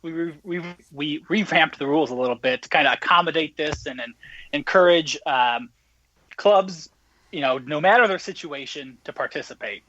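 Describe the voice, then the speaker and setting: A man's voice: fairly high pitch, moderate pace, sharp tone. A young adult man explaining things conversationally as an interview guest, on dull call audio. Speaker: guest, event organizer.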